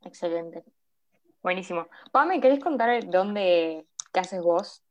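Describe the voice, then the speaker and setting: A woman's voice: on the low side, animated, takes it slowly, darkish tone. A woman hosting a podcast, casually introducing the show and its guests. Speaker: podcast host.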